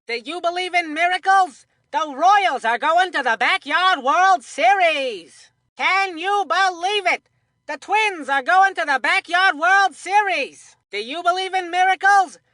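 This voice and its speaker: Very high-pitched kid baseball commentator. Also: female voice, unhurried, pushed and bright, no echo, clean studio sound, heavily acted. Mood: excited, triumphant. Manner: peppy, exclamatory. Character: excitable kid baseball commentator in a cartoon game. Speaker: sports commentator. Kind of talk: scripted play-by-play commentary.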